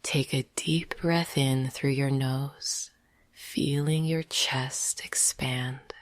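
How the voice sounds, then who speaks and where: Woman, meditation guide, low voice, slow, breathy near-whisper, gentle, dry close sound. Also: fairly even intonation, dry recording. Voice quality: soft, breathy, half-whispered.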